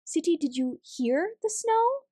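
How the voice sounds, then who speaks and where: Woman voicing an eager, high-pitched little storybook girl. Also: sing-song, measured pace, mellow, no room sound, clean studio sound, heavily acted. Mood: curious. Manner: eager, exclamatory. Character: excited, playful young girl visiting her grandmother. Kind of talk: children's storybook character reading.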